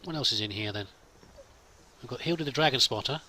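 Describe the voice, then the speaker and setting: A man's voice: medium pitch, quick pace, bright, forceful tone, a little hiss or hum. A man hosting a let's play with casual role-played commentary, chatty and musing to himself.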